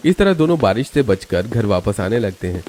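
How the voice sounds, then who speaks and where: A man narrates a cartoon family story from a script. His voice sits fairly low. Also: big pitch swings, fairly quick, a little mellow, slight background noise.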